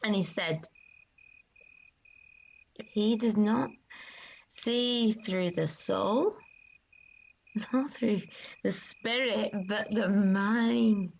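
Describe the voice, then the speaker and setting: A woman playing a female disciple who solemnly recounts a vision in a halting, reverent dramatic monologue with long pauses. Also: medium pitch, creaky, heavily acted, poor audio. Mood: reverent, hushed. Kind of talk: dramatic monologue of an ancient religious text.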